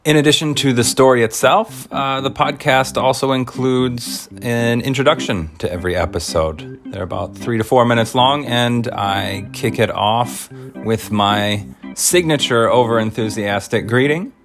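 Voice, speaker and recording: A man's voice: medium pitch, a little slow, music in the background. A man presenting his own podcast in a casual, explanatory trailer voice-over.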